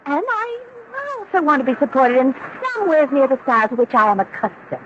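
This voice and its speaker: An American actress playing a pampered young heiress in a 1970s radio drama, coaxing, playful and determined. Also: female voice, high-pitched, very animated, fairly quick. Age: young adult. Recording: dull, noisy vintage radio recording.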